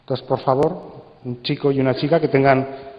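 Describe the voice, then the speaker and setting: A man with an accent from Spain gives a live, interactive talk to an audience, with measured, playfully theatrical phrasing. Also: medium pitch, fairly even intonation. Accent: Spanish from Spain. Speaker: speaker and coordinator at a radio drama congress. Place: large indoor congress hall. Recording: dull, echoey hall recording with faint noise.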